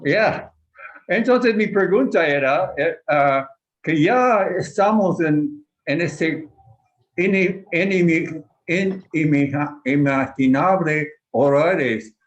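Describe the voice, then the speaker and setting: A man with a slightly raised voice talks slowly on a video call. He searches for words and restarts often, speaking a language he is still learning in a small study group. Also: on the high side, lo-fi sound. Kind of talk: casual online group discussion.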